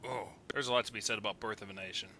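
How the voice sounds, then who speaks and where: A man's voice: fairly low pitch, fairly even intonation, very fast, bright, forceful tone. A man in a casual film debate on a voice call, speaking emphatically and repeating words to insist on his point.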